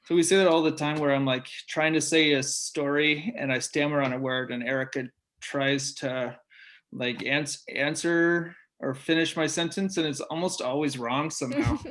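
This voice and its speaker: A man who stutters, often repeating words and breaking off sounds, as a guest in a relaxed interview conversation. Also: a little high, moderate pace. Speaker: podcast guest, military college music director.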